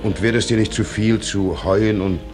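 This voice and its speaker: An actor playing a middle-aged small-town doctor and father, measured and gently firm, in a radio drama. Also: fairly low pitch, fairly even intonation, over music, close to an everyday voice. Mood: gently chiding. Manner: measured, paternal, gently chiding. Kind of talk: German stage-play radio drama acting.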